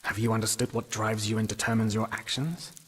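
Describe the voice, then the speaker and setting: A man's voice: on the low side, little pitch movement, brisk, fairly bright tone, lightly acted. Actor as tormented, bitter man in reverberant hall. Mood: taunting, menacing. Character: tormented, bitter young man, fatalistic and accusing. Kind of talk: dramatic fantasy game acting. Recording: long hall reverb with noise.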